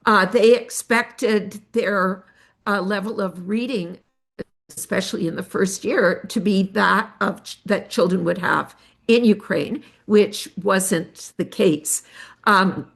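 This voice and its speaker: An older woman researcher giving an academic presentation of study findings, measured and pausing often with fillers. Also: medium pitch, creaky, fairly bright tone. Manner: measured, with frequent filler pauses.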